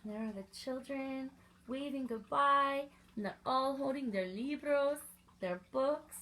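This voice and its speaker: A woman's voice: high voice, a little slow, a bit bright, low-quality recording. A woman reading aloud to young children as a story time host, expressively and with little asides to the listeners.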